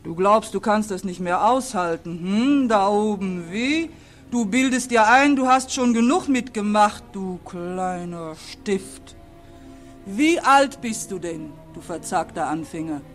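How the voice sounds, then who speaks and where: A woman's voice: medium pitch, expressive, measured pace, faint music behind, close to an everyday voice. An actress playing a river as an earthy, scolding, motherly old woman in a 1940s radio drama. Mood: scornful. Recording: vintage 1940s radio recording.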